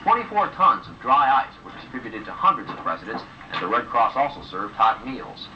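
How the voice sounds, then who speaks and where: Guy doing tv news narration, super bright punchy voice, old tape recording w some hiss. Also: medium pitch, big pitch swings, fairly quick. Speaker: news presenter. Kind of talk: scripted broadcast news narration. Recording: old home videotape of TV news, distant, hissy.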